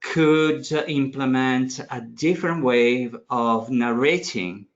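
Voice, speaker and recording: A man in his forties, a course leader, introducing himself informally and stopping and restarting often. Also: medium pitch. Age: 40s. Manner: halting, frequent restarts and fillers. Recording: dull lo-fi audio.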